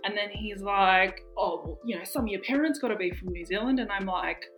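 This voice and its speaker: A young woman with an Australian accent, co-hosting a podcast and telling stories in expressive, casual chat with some swearing. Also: medium pitch, brisk, soft background music. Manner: expressive, swearing, acts out dialogue. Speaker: podcast co-host.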